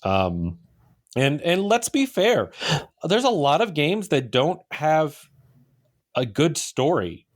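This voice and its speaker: A man's voice: fairly high pitch, big pitch swings, slow, pauses often. A man chatting casually and informally as a podcast co-host, readily giving his opinions.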